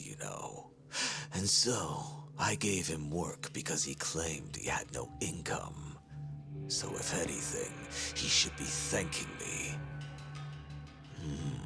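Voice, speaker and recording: Very deep, gravelly crime boss. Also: male voice, heavily acted. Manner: slow, taunting, drawling. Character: menacing, coldly sardonic crime boss, adult man. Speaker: video game villain. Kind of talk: cinematic video game acting. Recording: loud music behind.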